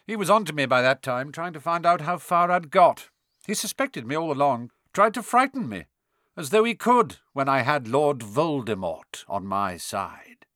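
A man's voice: fairly high pitch, animated, moderate pace, fairly bright tone, dry recording, studio quality, voice pushed up. A man voicing a cold, smug male villain in an audiobook, mocking and coolly casual in his menace. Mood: brisk, intent. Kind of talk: audiobook character acting.

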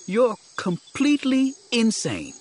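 A man's voice: mid-range voice, wildly swooping pitch, unhurried, slightly rough, heavily acted. A man voicing a cynical, exasperated young local sidekick with slangy, sarcastic speech in an audiobook. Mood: exasperated, scornful.

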